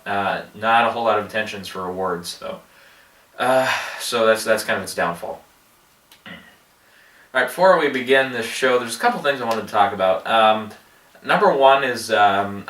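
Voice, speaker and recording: A man with a head cold rambling through movie commentary, halting with many fillers and restarts. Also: fairly low pitch, sharp tone, recorded from a distance. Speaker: movie box office vlogger. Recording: echoey room, voice off-mic.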